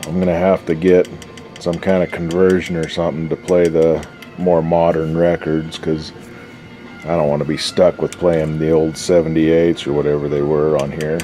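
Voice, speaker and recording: Really deep bass guy muttering folksy slangy stuff while tinkering at his bench, bit of faint music in back. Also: flat delivery, normal speed, warm tone. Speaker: hobbyist radio restorer and video host. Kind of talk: casual unscripted workbench commentary.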